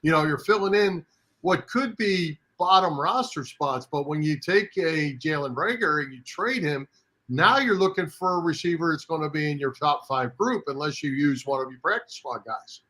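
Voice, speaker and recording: A man's voice: on the high side, normal speed, pushed and bright. A man co-hosting a sports show in a casual talk style.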